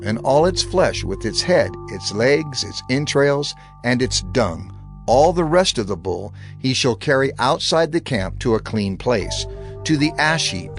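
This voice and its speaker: A man narrating scripture in a measured, reverent reading with expressive rise and fall. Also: mid-range voice. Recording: narration over background music.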